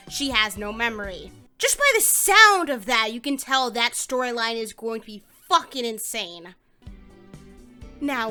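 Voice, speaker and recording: A young American woman with a high, bright voice reviews a video game. She is emphatic and sarcastic, swearing casually as she reads her script, with light music behind her. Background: background music.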